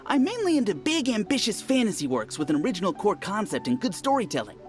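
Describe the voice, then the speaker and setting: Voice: medium pitch, very animated, fast talker, faint music behind, lightly acted. A voice actor playing a reflective, slightly jaded longtime reader of novels.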